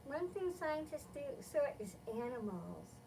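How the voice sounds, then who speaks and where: A woman's voice: high voice, sing-song, unhurried, creaky, far from the mic, background noise. A woman hosting a library storytime, reading aloud and explaining things simply for young children. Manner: simple, instructive phrasing aimed at small children.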